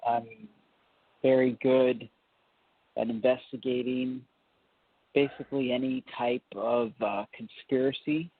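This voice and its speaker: A man phoning in to a talk show, speaking politely and haltingly but persistently. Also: mid-range voice, level tone, breathy edge, no room sound. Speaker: phone-in caller. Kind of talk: prank call to a talk show. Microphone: telephone. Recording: tinny telephone line.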